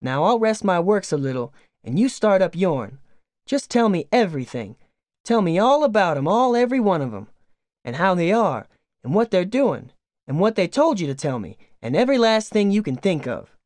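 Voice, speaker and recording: A high, gushing farm wife who can't stop talking, as a male reader puts her on. The voice leaps and swoops all over with each worried burst. It sounds close and dry, with no room around it. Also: very animated, normal speed, darkish tone, dry recording. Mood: eager. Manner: gushing, breathless run-on chatter. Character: fretful, excitable middle-aged farm wife.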